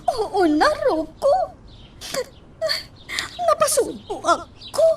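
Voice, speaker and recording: A woman's voice: very high-pitched, sing-song, very slow, distant mic, slight background noise, full character voice. A woman playing an anxious, reluctant female accomplice in a radio drama, pleading as she speaks.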